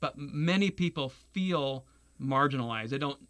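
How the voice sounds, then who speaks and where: Bright-voiced earnest man explaining. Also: mid-range voice, moderate pace. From Taiwan. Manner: earnest, explanatory, with small restarts. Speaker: guest, securities lawyer. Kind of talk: radio interview.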